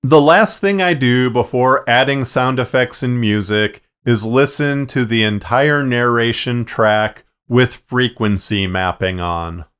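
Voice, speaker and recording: Man, mid-pitched smooth voice, unhurried delivery, explanatory tutorial narration, plain-spoken. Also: fairly bright tone. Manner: measured, plain-spoken, instructional. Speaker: fiction podcast producer and narrator. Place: acoustically treated recording space.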